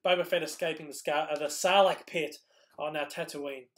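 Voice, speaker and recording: Casual Australian male streamer, high and fraying, reading chat questions and waffling. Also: fairly even intonation, moderate pace, a bit bright. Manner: rambling, lots of ums and restarts. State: losing his voice. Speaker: YouTube host answering live chat.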